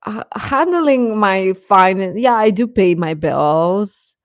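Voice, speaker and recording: A woman's voice: medium pitch, animated, slow pace, no room sound, clean studio sound. A woman hosting a livestream, chatting casually and advising viewers in a conversational way, often hesitating and correcting herself. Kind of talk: casual chat, giving advice to viewers.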